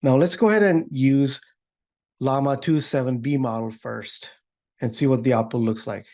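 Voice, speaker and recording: A man giving an informal, upbeat software demo walkthrough as a developer advocate. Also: mid-range voice, normal speed. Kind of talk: tech demo walkthrough, semi-scripted.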